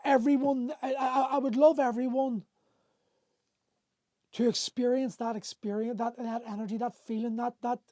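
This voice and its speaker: Candid middle-aged man, mellow voice. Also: very high voice, little pitch movement, dry recording, studio quality. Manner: candid, emotional, swears freely, halting restarts. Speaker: host. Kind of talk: livestream storytelling. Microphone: phone on a livestream.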